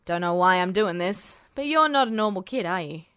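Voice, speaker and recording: A woman voicing a gruff, wry but kindly male police officer in a story reading, speaking in a casual, conspiratorial way. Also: lowish voice, lively intonation, brisk, fairly bright tone, no echo, clean studio sound, voice pushed down. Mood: hushed, wry. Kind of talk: fan fiction audiobook character voice.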